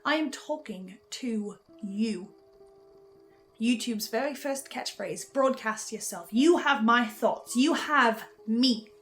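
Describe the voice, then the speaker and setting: A high-pitched, haunted archivist, acted by a woman, confesses hungrily to the listener in a horror drama. The delivery is theatrical and halting, with soft music underneath. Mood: brooding, confessional. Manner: theatrical, halting, swinging from whispers to outbursts. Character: tormented, obsessive, hungry archivist confessing to the audience. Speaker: host of a horror listen-along series. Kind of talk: dramatic in-character monologue to the listener.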